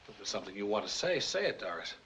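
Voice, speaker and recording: An actor in an old film playing a proud, bitter older brother who shouts when angered. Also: male voice, on the low side, big pitch swings, racing, noisy background. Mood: gentle. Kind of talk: 1940s film acting. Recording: dull old film soundtrack.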